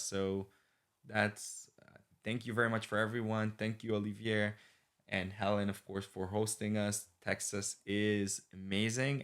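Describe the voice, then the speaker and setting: A man speaks as a guest in a conversational interview. His voice sits on the low side, and he often hesitates as he reaches for the right word. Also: a bit bright. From Israel. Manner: searches for words, frequent hesitations. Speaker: guest, army officer.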